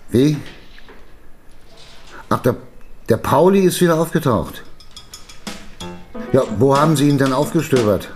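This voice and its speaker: An actor playing a jovial, inquisitive newspaper boss in a radio drama, talking in a halting, colloquial way. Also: male voice, medium pitch, expressive, darkish tone, faint music behind, close to an everyday voice. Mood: brisk, relieved. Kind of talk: German radio drama dialogue.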